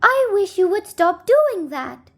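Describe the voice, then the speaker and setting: A wee little man from a folktale, as a woman storyteller puts him on, pipes up in a high, swooping voice. He is polite yet exasperated, pressing each complaint on his neighbour with plaintive emphasis. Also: very animated, takes it slowly, a bit bright, heavily acted.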